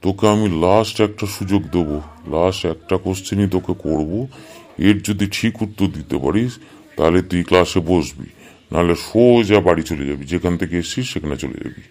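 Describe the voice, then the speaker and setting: A man's voice: deep voice, darkish tone, lightly acted. A man playing a stern, exasperated schoolteacher in a comedy cartoon, scolding and sarcastic. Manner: scolding, sarcastic, drawn-out hums. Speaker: cartoon voice actor. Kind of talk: Bengali comedy cartoon dub.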